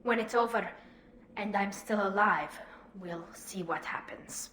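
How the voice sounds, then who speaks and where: An actress playing a loyal, protective young alien engineer in a video game, earnest and heartfelt. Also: female voice, mid-range voice, a little slow, fairly bright tone, lightly acted. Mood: earnest, regretful. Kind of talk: video game dramatic acting. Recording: echoey room, faint background noise.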